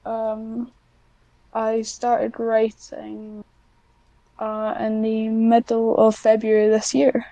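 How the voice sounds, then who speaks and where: A woman's voice: fairly high pitch, monotone, takes it slowly, mellow, no echo, highs cut off. A woman chatting casually as an interview guest, hesitant and self-deprecating. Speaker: podcast guest, amateur scriptwriter.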